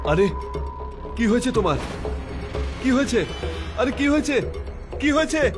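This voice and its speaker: A cautious young man in a horror drama, played by a male actor. His voice sits high and he takes his time with the words, with loud music behind him. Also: animated, measured pace. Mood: alarmed. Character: young man out at night with his partner, cautious but indulgent. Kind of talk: dubbed horror story dialogue. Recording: loud music over the dialogue.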